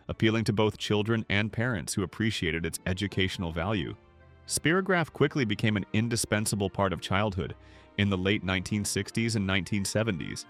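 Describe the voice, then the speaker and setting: A man reading scripted narration in an earnest storytelling manner, as if addressing the listener directly. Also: on the low side. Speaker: narrator of a nostalgia video.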